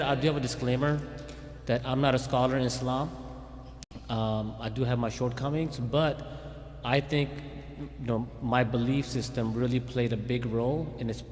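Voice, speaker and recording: A police chief giving an informal talk at a community event, speaking conversationally and often restarting sentences and checking in with "okay?". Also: male voice, mid-range voice, a little hiss or hum. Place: Islamic center hall. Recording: reverberant hall from a livestream.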